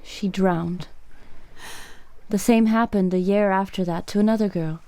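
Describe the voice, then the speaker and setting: A woman acting a mellow, mysterious island rescuer. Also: mid-range voice, no echo, natural voice. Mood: solemn, sorrowful. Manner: calm, measured storytelling. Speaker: actor in a radio play. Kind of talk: radio drama acting.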